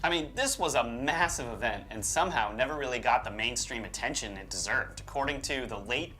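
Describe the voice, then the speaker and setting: A man's voice: medium pitch, a little fast, pushed and bright. A man co-hosting a show, mixing scripted narration with informal, incredulous asides. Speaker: show host. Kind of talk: conversational co-hosted scripted narration with casual asides.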